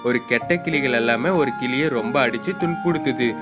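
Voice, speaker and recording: Male narrator, mid pitch, scripted children's tale, prominent guitar backing. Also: a little fast. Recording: loud guitar music behind.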